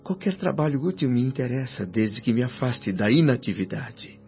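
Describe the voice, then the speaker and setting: An actor playing a humble, earnest deceased doctor in a radio drama, speaking formally and deferentially. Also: male voice, mid-range voice, lively intonation, moderate pace, vocal fry. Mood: respectful pleading. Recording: dull lo-fi radio drama over music.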